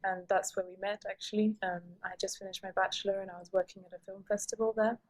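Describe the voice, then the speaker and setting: A woman in her thirties from Sweden, an architect, answering podcast questions calmly and hesitantly with many fillers. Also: medium pitch, quick pace, rough recording. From Sweden, raised in several countries. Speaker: architect, guest.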